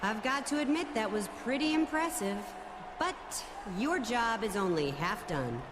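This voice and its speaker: A bossy female wrestling boss in a game cutscene. Her voice is high and lively, assertive and taunting. Loud music plays behind her. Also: measured pace. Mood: smug, challenging. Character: bossy, scheming female wrestling authority figure. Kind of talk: scripted video game cutscene acting.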